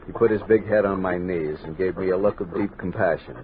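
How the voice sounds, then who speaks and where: A man's voice: low voice, steady tone, phone-quality sound, slight background noise, close to an everyday voice. An actor with an American accent playing a grave, commanding army captain who narrates his expedition journal in a radio drama. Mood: sombre, brooding. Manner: measured, literary storytelling with crisp orders. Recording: band-limited 1960s studio radio recording with music.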